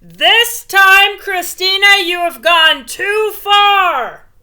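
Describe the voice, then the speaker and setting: A woman voicing a grumpy, exasperated father in a children's storybook, scolding in a loud, drawn-out way. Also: very high voice, voice pushed up, exaggerated voice. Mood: angry shouting.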